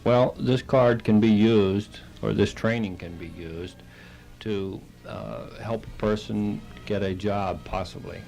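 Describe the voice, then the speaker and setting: A man, a halting and plain-spoken interview guest, explaining things on the radio. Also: lowish voice, fairly even intonation, creaky, mellow, faint music behind, low-quality recording. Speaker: interview guest, Red Cross chapter director.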